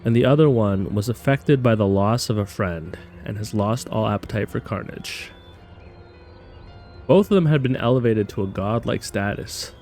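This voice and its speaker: A man's voice: fairly low pitch, normal speed, a little mellow, faint music behind. A man giving casual game commentary, thinking aloud and speculating as he plays. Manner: musing, speculative. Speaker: gamer doing a playthrough.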